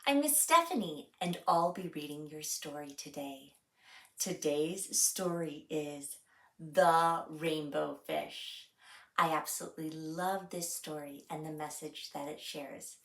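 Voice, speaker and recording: A woman hosting a children's story time, reading aloud with deliberate, expressive phrasing, a little away from the microphone. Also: sits low, reverberant, distant mic.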